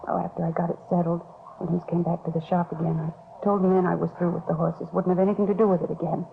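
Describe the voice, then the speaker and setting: An American actress playing a worried beauty shop owner in a 1950s radio drama, confessing and pleading anxiously. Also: female voice, lowish voice, little pitch movement, quick pace, gravelly. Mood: pleading, distressed. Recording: scratchy, phone-like 1950s radio recording, noisy.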